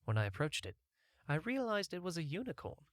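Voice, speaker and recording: A man's voice: a little high, wildly swooping pitch, brisk, dry recording. Man narrating a story, close dry recording. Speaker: audiobook narrator. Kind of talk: first-person scripted story narration.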